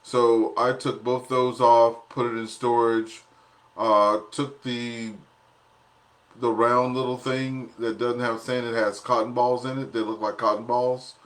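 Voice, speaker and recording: A man's voice: mid-range voice, little pitch movement, measured pace, a bit bright. A man chatting casually on an amateur radio net, explaining things in a rambling, folksy, plain-worded way. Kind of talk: casual chat.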